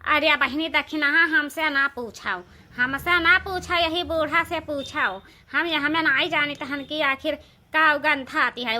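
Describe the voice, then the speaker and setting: Woman acting a high-pitched, scolding village housewife. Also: bright, forceful tone, heavily acted. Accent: eastern Uttar Pradesh. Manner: scolding, complaining, emphatic repetition. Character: bossy, scolding village housewife in a family feud. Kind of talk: comedy cartoon village dialogue.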